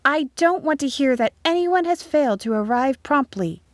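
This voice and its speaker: A kindly but brisk country schoolteacher from a storybook, played by a woman narrator. She gives lilting instructions to children in a raised, high voice, recorded very dry. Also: animated, no room sound. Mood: kindly, firm. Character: kindly, brisk young female country schoolteacher addressing pupils.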